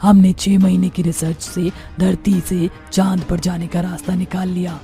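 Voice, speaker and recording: A woman's voice: on the low side, flat delivery, normal speed, mellow, lightly acted. A woman playing a hospitable, reassuring witch ghost in a cartoon story, with music behind her. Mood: explaining. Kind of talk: Hindi cartoon horror story acting.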